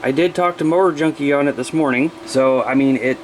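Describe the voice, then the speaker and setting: A man's voice: mid-range voice, a little fast, a little hiss or hum, rough recording. A man from the US Midwest chatting casually to viewers as a hobbyist, rambling and plain-spoken while he demonstrates things. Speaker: hobbyist vlogger.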